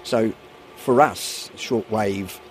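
A man who is a life member of a radio hobbyist league, speaking in a news interview clip in a halting way with many fillers. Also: on the low side, slight background noise.

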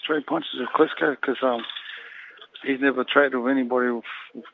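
A Samoan-born boxer being interviewed, talking haltingly and filling pauses with "you know". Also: male voice, mid-range voice, fairly even intonation, vocal fry, slight background noise. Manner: halting, leans heavily on "you know" fillers. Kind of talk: phone interview. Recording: tinny phone line.

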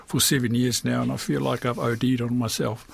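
An older New Zealand man with a husky, medium-pitched voice. He talks as a guest in a reflective interview, candid and colloquial, often dropping in "you know" and "eh". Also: flat delivery, brisk. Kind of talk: reflective personal interview talk.

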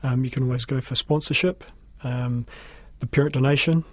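Husky New Zealand man, evenly pitched. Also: steady tone, brisk, no echo. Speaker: school principal being interviewed. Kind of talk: explanatory interview talk.

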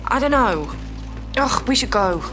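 A young woman's fairly high, lively voice. She is questioning and a little impatient, with light music behind. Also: a little slow. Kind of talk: naturalistic audio drama acting.

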